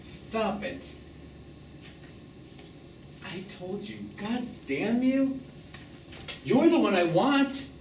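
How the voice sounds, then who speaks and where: A man's voice: high-pitched, lively intonation, very slow, long pauses, full character voice. A man acting a defensive, jealous lover in stage drama dialogue, terse, blunt and emotionally volatile. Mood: jealous, hurt. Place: theatre stage, recorded from the audience distance. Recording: echoey, distant, noisy live theatre recording.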